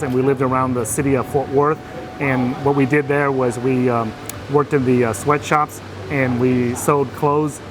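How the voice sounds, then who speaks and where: A man's voice: mid-range voice, background noise. A man from Vietnam, a guest telling his life story in a casual interview, with many fillers and restarts.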